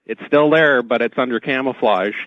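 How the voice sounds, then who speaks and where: A man as a radio interview guest, explaining technical points informally and haltingly, often repeating words, on dull band-limited audio. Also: medium pitch, no echo.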